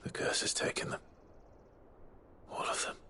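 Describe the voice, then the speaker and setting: An actor playing a brooding, compassionate warrior in a video game, with a grave, measured delivery. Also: male voice, sharp tone, whispered. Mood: hushed sorrow.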